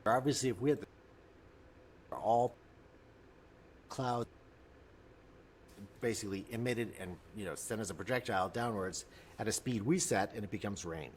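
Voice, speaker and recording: A man explaining software step by step in an unscripted tutorial, often repeating and rephrasing himself as he goes. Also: on the low side, moderate pace, long pauses, slight background noise.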